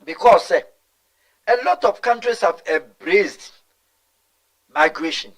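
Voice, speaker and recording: A man's voice: high voice, animated, slow pace. A middle-aged Ghanaian man answering in an interview, talking emphatically and mixing in Twi, with stumbles and repeated words. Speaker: guest.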